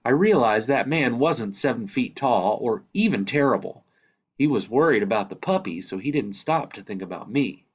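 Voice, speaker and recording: Storybook grandfather, played by a male reader: candid, wry, fond, emphatic delivery lifted in pitch, slightly lo-fi sound. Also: animated, moderate pace, slightly rough. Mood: wry, earnest.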